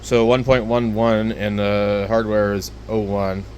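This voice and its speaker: A man giving an unscripted, casual gear review in a rambling, halting, plainspoken way. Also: lowish voice, flat delivery, darkish tone, slight background noise. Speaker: hobbyist product reviewer.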